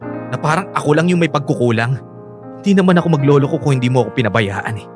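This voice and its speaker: A male actor plays an unfaithful young husband, full of remorse yet quick to defend himself. His mid-range voice has a Filipino accent and sits over a clear music bed, in radio drama style. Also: mid-range voice, expressive, natural voice. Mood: defensive.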